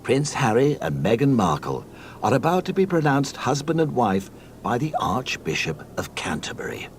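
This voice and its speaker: A man narrating a scripted read-along storybook in a mock-solemn, deadpan comic manner. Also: mid-range voice, moderate pace, slight background noise.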